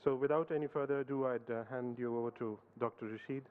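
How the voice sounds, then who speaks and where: A man's voice: mid-range voice, steady tone, fast talker, clean tone. A man chairing an academic conference, speaking with many fillers and restarts before handing over to the next speaker. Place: university lecture hall. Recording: phone-like narrow sound.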